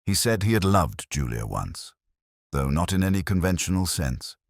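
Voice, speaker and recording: A man reading scripted literary detective fiction as narrator, in a measured, formal and brooding manner. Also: sits low, sing-song, gravelly, dry recording, studio quality.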